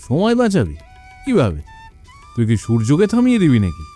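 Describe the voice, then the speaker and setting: Man as a mellow, scoffing village craftsman. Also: a little high, wildly swooping pitch, a little fast, soft background music. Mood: scornful disbelief. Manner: scoffing, plain-spoken, chiding. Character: hardworking, scoffing traditional village jaggery maker, older brother type. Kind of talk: animated cartoon dialogue.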